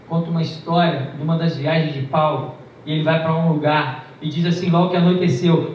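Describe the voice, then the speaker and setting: A man's voice: fairly high pitch, a little hiss or hum. A man preaching a sermon, telling stories emphatically with a shifting pace. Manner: storytelling, emphatic, varying pace. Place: large church hall. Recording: distant, echoey, muffled church recording.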